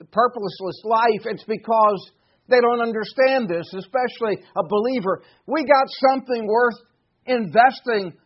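Male preacher, 60s, high-set voice, earnest and emphatic sermon delivery, slightly dull recording. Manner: earnest, emphatic with repeated phrases.

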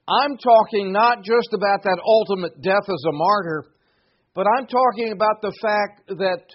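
A man's voice: high-pitched, lo-fi sound. A man in his sixties preaching a sermon in an earnest, emphatic way, driving points home by repeating phrases. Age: 60s.

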